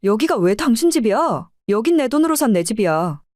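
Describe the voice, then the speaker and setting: Woman acting as a defiant, animated wife. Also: on the high side, a little fast, dry recording, studio quality. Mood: angry. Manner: blunt, confrontational. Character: defiant, hard-nosed middle-aged wife. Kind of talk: audio drama dialogue.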